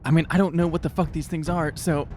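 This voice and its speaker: A man's voice: a little high, flat delivery, fast, a little mellow. A man playing a tabletop game, bantering casually with the other players. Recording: music under the talk.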